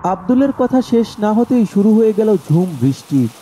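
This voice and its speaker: A man's voice: high-pitched, very animated, moderate pace, warm tone, a little hiss or hum, rough recording. A man narrating a scripted horror story as its storyteller.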